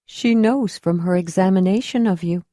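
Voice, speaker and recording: A woman voicing a large, commanding spiritual leader who speaks in a measured, formal way. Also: lowish voice, mellow, no room sound, clean studio sound, lightly acted. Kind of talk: audiobook character voice.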